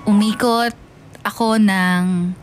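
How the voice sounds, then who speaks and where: A woman with a Filipino accent narrates a personal story as a radio host. Her pitch sits in the middle and the delivery is dramatic storytelling. Light music plays behind her. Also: fairly even intonation, slow. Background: background music.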